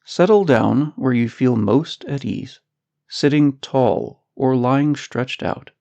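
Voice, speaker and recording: A man guides a meditation at a very slow, measured pace. His mid-pitched voice has a strong creaky edge, and his delivery is scripted and soothing. The recording sounds slightly dull. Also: expressive, warm tone.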